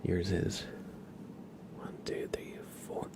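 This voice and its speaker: Guy doing asmr stream, whispery and really slow, soft murmur close to the mic. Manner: slow, soothing, repeats words softly. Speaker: ASMR livestreamer, host. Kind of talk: ASMR, slow whispered roleplay and chat to viewers.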